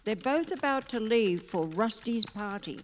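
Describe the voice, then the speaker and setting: A woman narrating a live radio play, setting the scene in a measured storytelling way. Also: fairly high pitch, lively intonation, a little hiss or hum. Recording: long reverb of a concert hall.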